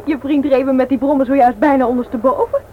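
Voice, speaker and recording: A perky, sassy young woman played by an actress in a radio play. Her voice is high, on a muffled and noisy old recording. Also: steady tone, quick pace, close to an everyday voice. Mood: playful. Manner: playful, sassy. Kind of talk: Dutch radio play acting.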